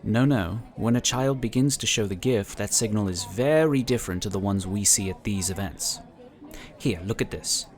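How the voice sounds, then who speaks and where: An actor playing a calm, courteous inquisitor who explains things in a formal, measured way in a sci-fi audio drama. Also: male voice, lowish voice, natural voice. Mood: explaining. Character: calm, courteous psionic inquisitor, proud pacifist.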